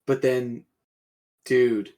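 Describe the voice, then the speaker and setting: A man's voice: mid-range voice, fairly even intonation, extremely slow, warm tone. A man co-hosting a casual chat, talking in a slangy, informal and crude way. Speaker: podcast co-host discussing a webcomic episode.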